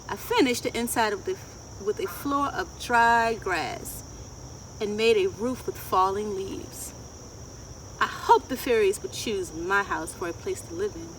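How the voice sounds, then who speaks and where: A middle-aged woman reading a picture book aloud to children, expressive and deliberate, often putting questions to her listeners. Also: medium pitch. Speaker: children's storyteller. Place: outdoors in a wooded garden. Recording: outdoor, distant mic, ambient insect noise. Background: insects and outdoor ambience.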